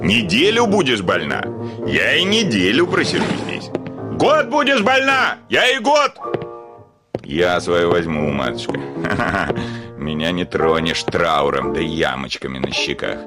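Blustering, wildly expressive irascible landowner. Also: male voice, low-pitched, a little slow, music in the background, full character voice. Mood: indignant. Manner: theatrical grumbling, exclaiming, swinging from muttering to bellowing. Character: irascible, blustering male Russian landowner, indignant and exasperated. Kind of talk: Russian classic comedy radio play acting.

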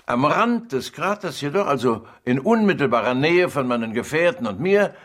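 An urbane gentleman played by a man with a mid-range voice and measured, articulate phrasing. He relishes his words like a theatrical storyteller in a radio play. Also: medium pitch, lightly acted. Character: amused, urbane gentleman relishing an adventure tale. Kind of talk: radio drama acting, dramatic reading aloud.

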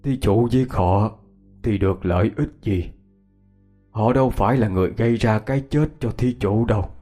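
A man playing a Buddhist monk who speaks gently and with composure in a story. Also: on the low side, normal speed, soft background music, close to an everyday voice. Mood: calm.

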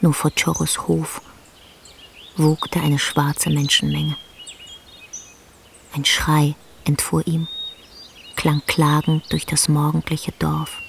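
A woman narrating a literary radio play in a sombre, restrained, husky voice. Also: low-pitched, completely flat delivery, takes it slowly, pauses often, a little hiss or hum.

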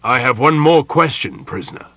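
A man's voice: mid-range voice, takes it slowly, vocal fry, pushed and bright, dry recording, pitched above the performer's own voice, full character voice. A man voicing a stern, pompous elven general in a fantasy audiobook, barking his words in a commanding, portentous way. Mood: portentous, cold.

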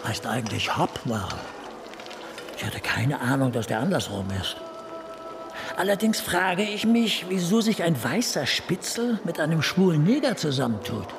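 An actor playing a brash, foul-mouthed, cynical police detective in a radio crime drama, sardonic and coarse. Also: male voice, a little high, very animated, normal speed, vocal fry, over music.

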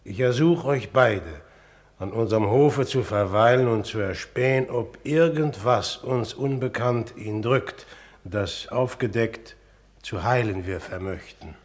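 An actor playing a courteous, calculating king in a classical radio play, formal and measured. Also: mid-range voice, natural voice. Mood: stately, concerned. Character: courteous, calculating king, adult man, stately.

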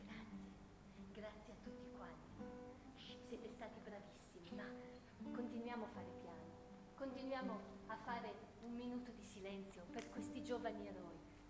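An actress playing a solemn, fussy woman who repeatedly hushes and leads a memorial for fallen soldiers in a theatrical radio play. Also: medium pitch, normal speed, close to an everyday voice. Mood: gentle, reverent. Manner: repetitive hushing pleas, switching between several languages. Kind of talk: theatrical radio drama monologue. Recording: echoey hall, loud guitar music behind. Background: guitar music.

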